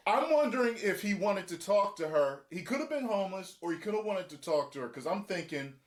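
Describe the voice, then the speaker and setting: A man hosting a casual reaction chat, talking in an animated way and acting out other people's lines. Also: brisk. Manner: animated, acts out quotes with shifting pitch. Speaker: show host.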